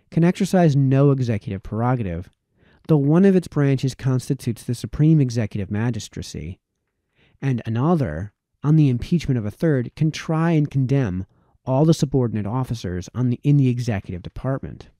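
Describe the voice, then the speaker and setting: A man reads a formal, old-fashioned text aloud in a very warm, dark, relaxed voice. His pitch moves expressively as he shapes long sentences with deliberate emphasis. The sound is close and dry. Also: no room sound. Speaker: audiobook reader.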